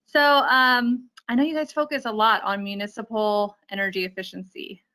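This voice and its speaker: A woman's voice: on the high side, steady tone, normal speed, sharp tone. A woman hosting an interview, asking questions in a friendly way with frequent fillers.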